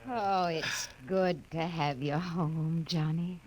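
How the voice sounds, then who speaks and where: A woman's voice: deep voice, steady tone, slow, a little mellow. An actress playing a kindly, fussing middle-aged mother, chatty and solicitous, in a 1950s radio drama. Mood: fussing, fond. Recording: old radio recording, music behind.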